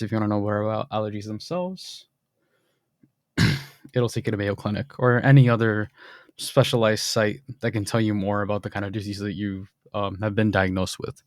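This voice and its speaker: A young man with a fairly low voice walks through a screen-recorded software demo in a casual, explanatory way. He fills pauses with ums and keeps clearing his throat. The sound is dry and close, with no room behind him. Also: dry recording. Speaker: software developer demoing his bot.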